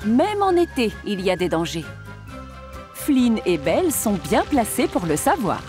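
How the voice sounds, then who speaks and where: A woman's voice: mid-range voice, big pitch swings, unhurried, music in the background. A woman reading scripted narration for a children's cartoon story as its narrator.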